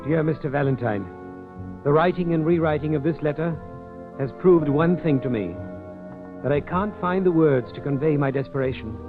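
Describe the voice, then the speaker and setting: A man's voice: on the high side, moderate pace, close to an everyday voice. An actor playing an anxious, melodramatic man in a 1940s radio drama, speaking in florid, ominous phrases. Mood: desperate, ominous. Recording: thin, tinny 1940s radio recording, faint music.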